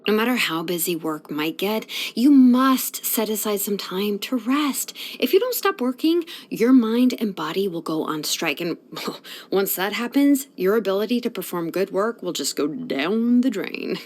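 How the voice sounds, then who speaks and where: Chill sleepy blacksmith girl from a fantasy game, unhurried drawl, pitch swings around a lot, medium height voice. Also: sing-song. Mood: drowsy, easygoing, then gently advising. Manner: unhurried, casual, hesitant drawl with sighs. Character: laid-back, sleepy young woman blacksmith from fantasy game. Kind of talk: English video game voice acting.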